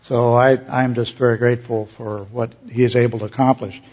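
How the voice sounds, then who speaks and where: A man's voice: medium pitch, level tone, fairly quick, darkish tone. A man hosting a live panel, introducing and questioning guests informally. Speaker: panel host. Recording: slightly dull, muffled live recording.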